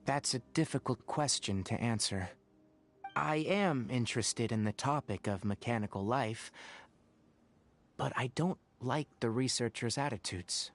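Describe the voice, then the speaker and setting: A man acts out a young male forest ranger from a video game, serious and principled. His voice sits at a middle pitch, and he speaks in measured, articulate sentences with an earnest, scholarly air. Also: close to an everyday voice. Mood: earnest, thoughtful. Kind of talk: scripted game dialogue.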